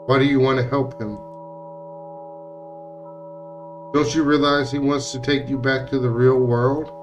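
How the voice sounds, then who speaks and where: A man's voice: medium pitch, flat delivery, brisk, over music, lightly acted. A man voicing menacing hive-minded puppets in a visual novel, insistent and accusing, with chant-like repetition.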